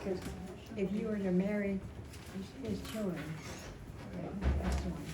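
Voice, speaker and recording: A woman in her seventies giving an informal, rambling and emotional personal tribute at a memorial, telling stories in a halting way. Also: medium pitch. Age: 70s. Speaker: widow speaking at a memorial. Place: indoor family memorial gathering. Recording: echoey, distant mic, noisy gathering room.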